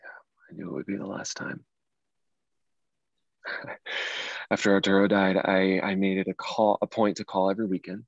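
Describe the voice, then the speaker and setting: A low male voice, close and dry, an actor as an earnest man in his late thirties carrying grief in a stage drama. He talks conversationally, and the sound is a little dull. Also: level tone, normal speed, creaky, long pauses, no echo. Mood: earnest, sorrowful. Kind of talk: table read of a dramatic script.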